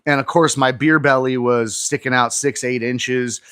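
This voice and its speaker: A man's voice: mid-range voice, steady tone, normal speed, a bit bright, smooth, no echo, studio quality. A man in his forties hosting a casual live stream from his garage gym, chatting with viewers and reading out their comments while he stretches. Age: 40s. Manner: chatty, talks straight to viewers, reads out comments. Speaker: livestream host. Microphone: phone camera on an auto-tracking mount.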